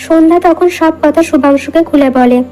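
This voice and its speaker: A woman's voice: high voice, fairly even intonation, fairly quick, music in the background. A woman reading scripted narration for a cartoon folk tale.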